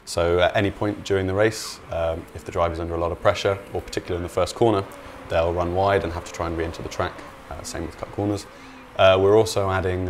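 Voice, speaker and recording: Low-pitched man, casual game designer. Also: slight background noise. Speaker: video game designer being interviewed. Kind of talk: casual interview explanation.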